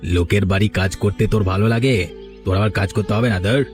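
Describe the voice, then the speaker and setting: A man's voice: on the low side, a little fast, lightly acted. A man playing a bossy, scheming criminal in a cartoon story, talking in a familiar, commanding way. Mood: coaxing. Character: scheming male village trafficker and his masked boss. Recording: music behind the voice. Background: background music.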